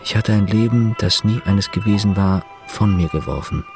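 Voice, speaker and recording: A man's voice: fairly low pitch, music in the background, natural voice. An actor in a German radio play, playing a brooding, bitter prisoner who denies who he is. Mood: brooding, confessional. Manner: measured, reflective narration breaking into furious shouting. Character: prisoner denying his identity, brooding, bitter, given to outbursts. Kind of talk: German radio play, first-person narration and acted dialogue.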